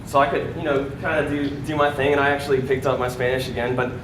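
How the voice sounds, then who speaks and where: A man's voice: medium pitch, level tone, fast. Young man telling his story on stage. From United States. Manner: casual, many fillers and "right?" tags. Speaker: speaker at a sales event. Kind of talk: motivational testimonial on stage. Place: large event room before an audience. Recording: echoey room with background noise.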